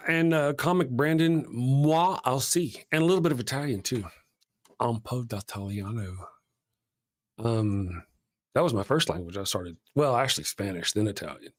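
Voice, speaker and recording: A man of middle pitch hosts a live stream in a loose, rambling way. He is chatty and quick to make fun of himself. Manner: chatty, rambling and self-deprecating. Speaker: host of a live show. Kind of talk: casual live-stream chat.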